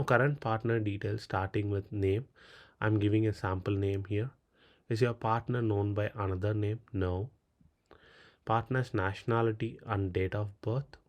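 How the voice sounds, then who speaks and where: A man's voice: lowish voice, a little mellow. A man with a Tamil Indian accent, a native Tamil speaker, narrating a step-by-step screen tutorial in a clipped way, reading out questions and answering them. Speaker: tutorial presenter. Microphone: voice-over on a screen recording.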